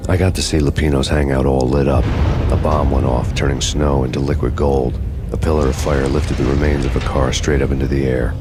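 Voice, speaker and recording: An American actor playing a world-weary wanted cop, narrating in a brooding, deadpan hardboiled noir style. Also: male voice, very deep voice. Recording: music behind the voice.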